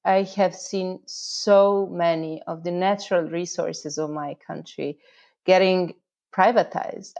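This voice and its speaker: Slightly muffled recording of a woman with a fairly low voice and a Croatian accent. She speaks as an interview guest, giving conversational answers. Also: darkish tone. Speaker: interview guest, urban activism project founder.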